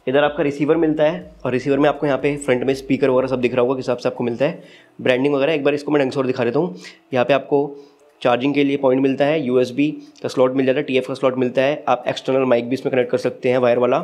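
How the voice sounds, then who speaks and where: A man's voice: medium pitch, little pitch movement, rapid-fire, darkish tone. A man with an Indian accent giving a casual, step-by-step unboxing review as the channel's host. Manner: explanatory, step-by-step.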